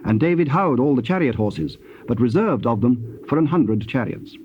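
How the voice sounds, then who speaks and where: A man with a British accent narrating scripture in a formal, measured, scripted style. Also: mid-range voice, lively intonation. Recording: muffled tape, faint background noise.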